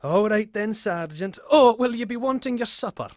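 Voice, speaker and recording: An actor playing a nosy, obliging lodging keeper with a drawling, inquisitive manner in an audio drama. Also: male voice, high-pitched, animated, normal speed, very noisy.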